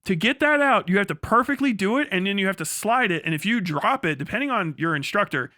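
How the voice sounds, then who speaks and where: A man livestreaming in a casual, crude, profanity-laced storytelling style as he explains things in an animated way. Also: high-pitched, fast talker, no room sound, studio quality. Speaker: livestream host.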